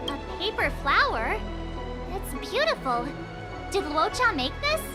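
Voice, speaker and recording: A woman voice acting a curious, expressive young girl in a video game, exclamatory and inquisitive. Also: very high-pitched, a little slow, full character voice. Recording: loud music behind.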